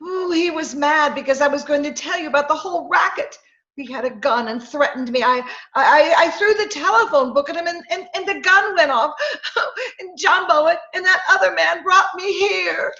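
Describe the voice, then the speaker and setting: Woman doing scared weepy girl in a radio play, super high bright voice, echoey. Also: normal speed, full character voice. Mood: frightened, breathless. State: tearful. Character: frightened, hysterical, self-pitying young woman. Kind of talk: old-time radio drama acting. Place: home, remote video call recording. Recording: echoey, dull remote home recording.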